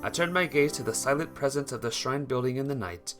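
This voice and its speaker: A man's voice: mid-range voice, a little fast, a bit bright, faint music behind. A man streaming a visual novel, switching between casual chat with viewers and reading the story aloud. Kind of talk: casual livestream chat mixed with expressive read-aloud narration.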